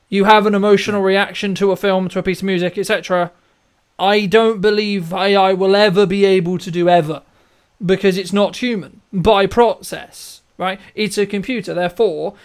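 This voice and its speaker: High-pitched man giving emphatic opinions. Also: clean tone. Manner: emphatic, repeats phrases for emphasis. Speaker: podcast host and filmmaker. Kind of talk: opinionated interview chat.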